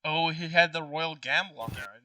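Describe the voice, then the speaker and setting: A man's voice: on the high side, brisk, pushed and bright, no room sound. A man talking strategy casually with friends as a party game player, a little distant from the microphone.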